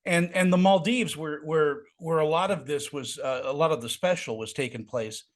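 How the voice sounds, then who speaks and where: A man hosting an interview, talking in an excitable, halting way with lots of restarts. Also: on the high side. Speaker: interview host. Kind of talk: casual interview chat.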